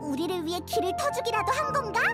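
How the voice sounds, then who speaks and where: A woman voicing a tiny, excitable childlike fairy companion in a game, with chatty, exclamatory delivery. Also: extremely high pitch, fast talker. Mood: defensive, surprised. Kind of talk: game character dialogue. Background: prominent music.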